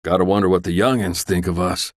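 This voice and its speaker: A man's voice: low voice, steady tone, brisk, no echo, studio quality, natural voice. A man voicing a jaded, melancholy former miner in a video game. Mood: melancholy, resigned. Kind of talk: video game NPC voice acting.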